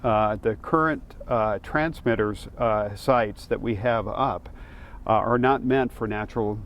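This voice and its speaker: A man speaking as a fire official, explaining matters in a halting, matter-of-fact way during an interview. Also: on the low side, a bit bright, a little hiss or hum.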